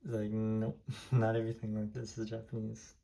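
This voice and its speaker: A man's voice: on the low side, monotone, moderate pace, vocal fry, mellow. A young man from Taiwan, a native Hokkien speaker, casually rambling as he tours his diecast collection room, heavy on fillers and restarts. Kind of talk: casual room tour vlog.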